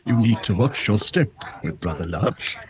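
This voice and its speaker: A man's voice: fairly low pitch, lively intonation, measured pace, crowd noise. An actor playing a jovial, mischievous, gossipy small-town man with an English accent in a radio drama. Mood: droll, confiding. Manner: chuckling, droll, confiding.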